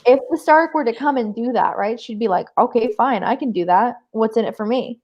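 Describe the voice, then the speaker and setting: Woman, high voice, casual book chat, live show co-host, emphatic, animated, conversational filler words. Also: fairly quick, dull audio.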